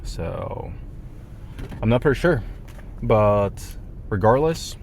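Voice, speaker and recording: A man with a low voice and Japanese as his native language talks in a casual, rambling vlog monologue. His phrasing is non-native and slangy, with some swearing. Clear street and vehicle noise sits behind him. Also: expressive, slow, darkish tone. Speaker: vlogger.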